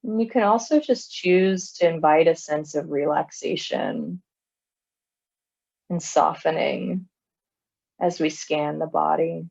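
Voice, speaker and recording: A woman leading a guided body scan meditation in a calm, soothing manner, leaving long pauses between phrases. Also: low-pitched, gravelly, dull audio. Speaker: meditation teacher.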